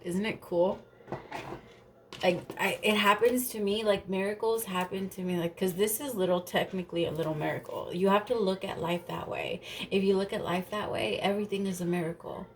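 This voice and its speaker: A woman's voice: medium pitch, steady tone, a little fast, slight background noise. A woman reading tarot cards in a casual, rambling way, a little stuffy and nasal from allergies, swearing now and then. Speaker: tarot reader.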